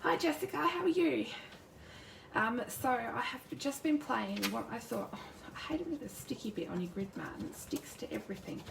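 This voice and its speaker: Woman, croaky sore throat, rambling live-stream crafter, roomy echo, faint background hiss. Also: on the high side, lively intonation, fairly quick. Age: adult with a son. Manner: chatty, rambling, greets viewers by name. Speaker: crafting demonstrator, youth worker. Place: home craft desk. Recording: roomy distant mic at a craft desk.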